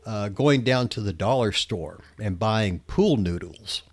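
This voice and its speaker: A man with a fairly low voice chats casually on a podcast. He shares practical, hands-on tips in an easygoing, conversational way. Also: animated, a little slow. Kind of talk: casual chat.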